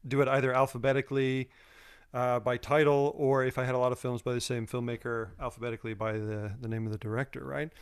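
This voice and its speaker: A man's voice: mid-range voice, clear, resonant tone. A man moderating a casual panel discussion over a video call, rambling with many fillers and restarts.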